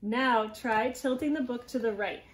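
A woman in her thirties reading a picture book aloud to young children at home, gently encouraging them and prompting with questions. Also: a little high, fairly even intonation, normal speed. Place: small living room at home, indoors.

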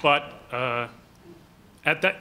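An older man moderating a public panel discussion, explaining in a measured, hesitant way into a table microphone. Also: fairly high pitch, sharp tone. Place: lecture hall, at a panel table.

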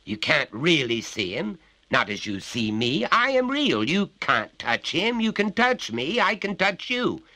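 A man's voice: fairly high pitch, wildly swooping pitch, measured pace, sharp tone, no room sound, pitched above the performer's own voice, exaggerated voice. A man voicing a pompous, overbearing middle-aged father who lectures in a condescending, menacing way. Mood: lecturing.

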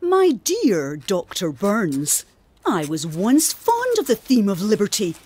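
An actress playing a proud, disapproving eighteenth-century gentlewoman, formally voicing her letter in a radio drama. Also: medium pitch, huge pitch swings, slow pace, slight background noise. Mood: stern disapproval. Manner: formal, haughty. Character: proud, disapproving genteel 18th-century lady letter writer.

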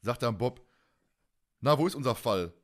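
Man, mid-pitched voice, podcast co-host, casual recap, rambling, colloquial, repeated phrases. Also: lively intonation, normal speed, fairly bright tone. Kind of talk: casual podcast chat, recapping an audio drama.

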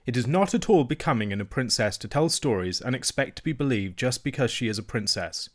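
A man's voice: mid-range voice, expressive, brisk, natural voice. A man voicing a fussy, easily vexed woman nurse who scolds crossly. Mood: scolding.

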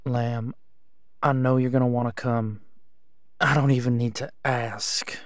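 A man's voice: mid-range voice, little pitch movement, unhurried, lightly acted. A man playing a protective, caring werewolf pack leader in an audio drama, with a casual, reassuring manner. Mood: concerned, tender. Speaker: voice actor.

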